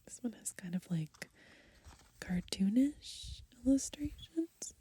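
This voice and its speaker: A young woman doing soft-spoken ASMR in a gentle, unhurried, breathy whisper, recorded close to the microphone outdoors. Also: fairly high pitch, lively intonation, no echo. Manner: gentle, unhurried, softly murmured. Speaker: ASMR video host. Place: outdoors on grass in light wind.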